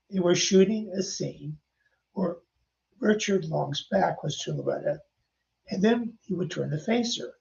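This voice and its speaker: Gravelly older man telling anecdotes. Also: on the high side, a little mellow, dull audio, slight background noise. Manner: anecdotal, chatty, addresses listeners directly. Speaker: podcast host and author. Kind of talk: casual storytelling narration.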